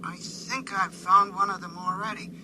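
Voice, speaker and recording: An actor playing a timid but brave spaceship passenger in a 1950s radio drama, speaking in halting, anxious phrases. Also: male voice, high-pitched, little pitch movement, sharp tone. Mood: nervous. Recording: vintage 1950s radio recording, faint noise.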